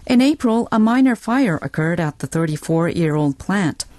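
A woman's voice: fairly low pitch, animated, moderate pace, a little mellow, dry recording. A woman reading scripted international news as a radio newsreader.

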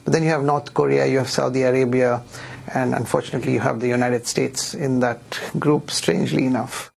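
A man's voice: medium pitch, level tone, fairly quick, creaky, darkish tone. A man giving an unscripted interview comment, hesitating and repeating words as he goes. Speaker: human rights organization leader.

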